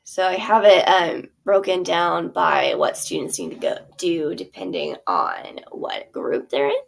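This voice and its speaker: A woman's voice: lowish voice, sing-song, unhurried, gravelly, poor audio. A woman teacher casually walking through her theater curriculum, chatty and full of fillers. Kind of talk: casual walkthrough of a product.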